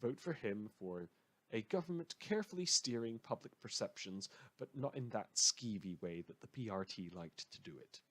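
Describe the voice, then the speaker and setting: Man acting as a husky, animated, sardonic radio host. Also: on the low side, normal speed. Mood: dry, scornful. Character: sardonic, melodramatic radio show host, opinionated, brooding man. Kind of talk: scripted satirical radio monologue in a fan audio drama.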